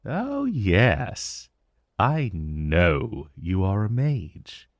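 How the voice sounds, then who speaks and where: A man's voice: lowish voice, wildly swooping pitch, extremely slow, dry recording, studio quality, heavily acted. A man voicing an ancient dragon in a fantasy roleplay monologue, urbane and amused, savoring his words with veiled menace. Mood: amused, impressed. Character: ancient male dragon, urbane, intrigued, vaguely menacing familiar.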